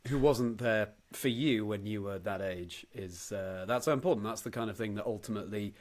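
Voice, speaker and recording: A man with a fairly low voice hosts a relaxed chat show. He responds to his guest in an affirming, encouraging manner and puts questions conversationally. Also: a little fast. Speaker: podcast host. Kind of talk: casual interview chat.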